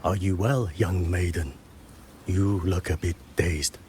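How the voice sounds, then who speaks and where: An actor playing a grave old village chief, speaking in a solemn, measured storyteller's manner in a game. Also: male voice, sits low, steady tone, darkish tone, a little hiss or hum. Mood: calm, courteous.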